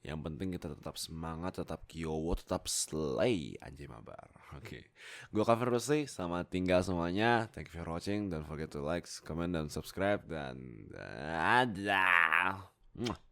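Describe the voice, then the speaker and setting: A young man with a very low, gravelly voice full of fry. He chats informally with his viewers as an online voice creator, jokey and self-deprecating. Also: expressive, a little slow, pushed and bright. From Indonesia. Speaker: YouTube roleplay voice creator. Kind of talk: casual chat to viewers.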